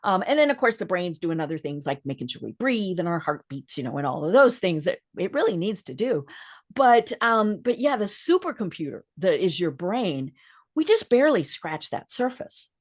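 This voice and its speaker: A woman's voice: fairly low pitch, big pitch swings, normal speed. Agreeable woman hosting a conversational interview. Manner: agreeable, frequent affirming murmurs. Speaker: podcast host.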